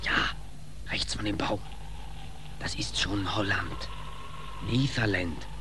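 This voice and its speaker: A male actor playing a gruff, impatient policeman in a 1940s German radio drama, giving brusque, furtive orders. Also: low voice, takes it slowly. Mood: furtive, instructing. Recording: noisy vintage 1940s radio recording.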